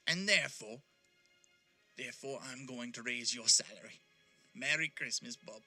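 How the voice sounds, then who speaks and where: An actor playing an exuberant, grateful old reformed miser in an audio drama, theatrical and effusive with formal old-fashioned phrasing. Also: male voice, medium pitch, normal speed, pushed and bright, faint music behind. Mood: mock stern, merry. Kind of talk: audio stage drama acting.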